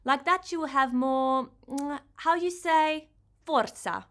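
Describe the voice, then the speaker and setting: A woman's voice: high voice, slow pace, pitched above the performer's own voice. A woman voicing a polite, eager teenage Italian girl in a storybook, with an Italian accent and prim, careful speech. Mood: helpful, tentative. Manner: prim, proper, careful speech, searching for words.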